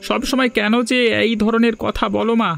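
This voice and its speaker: A duty-shirking young village lad from a cartoon, played by a man. His voice is very high for a male, with a breezy, protesting manner. Faint music runs underneath. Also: flat delivery, moderate pace, gravelly, a bit bright, full character voice. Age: young adult. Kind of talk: Bengali moral-story cartoon dubbing.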